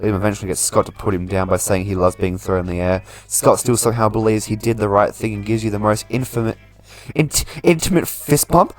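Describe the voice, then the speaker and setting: A man's voice: on the low side, fairly quick. A man doing casual video game commentary, reading the on-screen story aloud and adding his own remarks. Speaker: gaming video host. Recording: faint game music behind a dry voice. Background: music.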